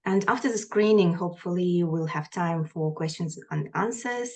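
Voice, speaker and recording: A woman from Kazakhstan whose first language is Kazakh speaks in a slightly low voice over a video call. She gives an artist's conference talk, hesitant, with frequent fillers and restarts. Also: moderate pace, a little hiss or hum. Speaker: artist presenting research. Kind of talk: conference presentation.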